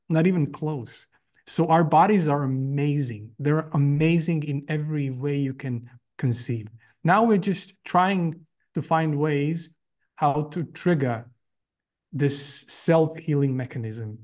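A man's voice: on the high side, darkish tone. Earnest man on a video call. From Croatia. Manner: earnest and enthusiastic, with frequent "uh" pauses. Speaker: guest, company CEO. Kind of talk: interview over video call. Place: home room indoors.

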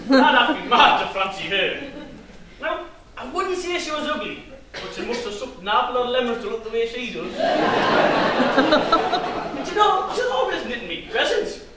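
A man acting a cheeky pantomime village joker with a very high, swooping voice. He plays jokes out to the house with exaggerated comic timing, heard from far off on an echoey stage. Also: pushed and bright, a little hiss or hum. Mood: mock dread. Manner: exaggerated, playful comic delivery to the crowd. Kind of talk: pantomime stage comedy, addressing the audience. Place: theatre stage, distant microphone.

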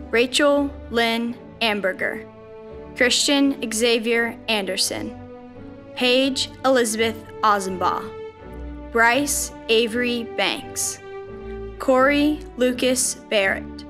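Young woman, slow deliberate list reading, higher voice, music behind. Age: young adult. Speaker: student council president reading names.

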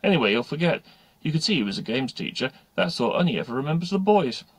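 A man's voice: a little high, sing-song, fast, slightly rough, dry recording, pitched above the performer's own voice. A man voicing a brash, streetwise schoolgirl who talks back in a blunt, scornful, colloquial way.

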